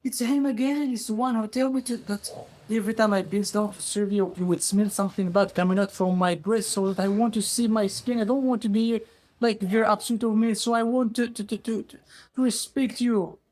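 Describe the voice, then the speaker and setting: Humble, stammering peasant played by a male storyteller, pitched high, pleading, non-native English, oral folk tale. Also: fairly even intonation, fairly quick, a little mellow, lightly acted. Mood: pleading, defensive. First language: non-native English speaker. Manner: stammering, protesting, repetitive.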